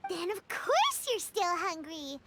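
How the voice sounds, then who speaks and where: A woman voicing a tiny, childlike, excitable fairy companion in an anime-style video game, with exclamatory speech. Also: slow pace, very noisy, full character voice. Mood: chatty. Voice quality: squeaky, childlike. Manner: refers to herself in third person, exclamatory.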